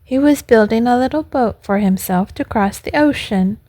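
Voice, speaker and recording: Woman playing a big male pigeon, high voice, calm, helpful, measured, storybook reading. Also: dry recording, lightly acted. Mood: calm, informative. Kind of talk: storybook character voice.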